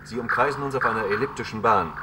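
A man's voice: mid-range voice, quick pace, bright, forceful tone, a little hiss or hum. An actor playing a dutiful, businesslike spaceship crewman giving reports in a German science-fiction radio drama. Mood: alert.

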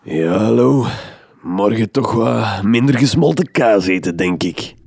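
A groggy, grumpy sleuth in a radio drama. His low voice is creaky and slow, with hesitant muttering over music. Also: expressive, a little mellow, heavily acted. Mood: irritated, groggy. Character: drowsy male sleuth woken at night, grumpy, bewildered.

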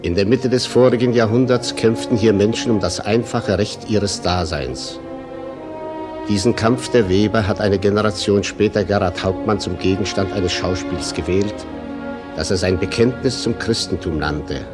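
A man reads scripted radio-drama narration in a deep voice. His delivery is grave and measured, with literary solemnity, and music plays clearly behind him.

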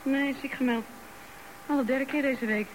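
Lady playing a blunt wife in her 30s, radio play, high lively voice, some background noise. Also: moderate pace, lightly acted, poor audio. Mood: matter-of-fact, grumbling. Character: younger wife of thirty-five, candid and indignant. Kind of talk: radio drama acting.

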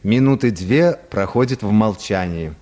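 A man narrating a literary short story for a radio drama in an expressive style. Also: fairly low pitch, measured pace.

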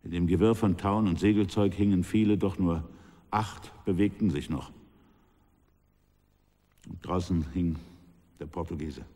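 An actor playing a somber sea captain on trial in a German radio drama, recounting events in stark, measured phrases. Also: male voice, low voice, mellow. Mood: bleak, haunted. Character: grave male sea captain on trial, recounting his shipwreck. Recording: long reverb, faint music behind.

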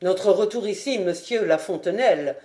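A woman's voice: mid-range voice, animated, moderate pace, natural voice. A woman reading aloud as a loyal male lieutenant who speaks firmly and with dignity. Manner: firm, loud, dignified. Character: steadfast male lieutenant, cool then indignant.

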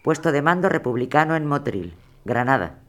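A man reading scripted scene-setting lines in a flat announcing manner. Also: mid-range voice, brisk. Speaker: narrator of time and place.

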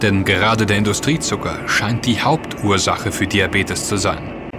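A man's voice: lowish voice, measured pace, music in the background, natural voice. An actor playing an earnest, knowledgeable man who explains and warns emphatically in a scripted audio drama. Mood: earnest warning. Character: earnest, knowledgeable man warning his friends.

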